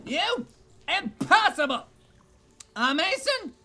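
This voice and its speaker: A man playing a drunken, boastful and excitable nobleman with theatrical exclamations in a dramatic gothic reading. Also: very high-pitched, takes it slowly, full character voice. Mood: incredulous.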